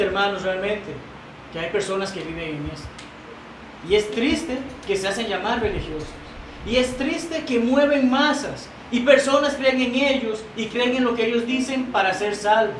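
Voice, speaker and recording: A man preaching a sermon in a church, earnest and emphatic, reading quoted doctrine aloud and stumbling into restarts now and then. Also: high-pitched. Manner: earnest, rising to emphatic repetition. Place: church sanctuary. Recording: roomy church sound, mic at a distance, noisy.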